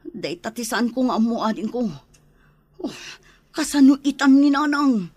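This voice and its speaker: A woman's voice: on the high side, animated, normal speed, darkish tone, no echo. A woman with a Filipino accent playing a concerned, protective adviser in a radio drama. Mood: urgent.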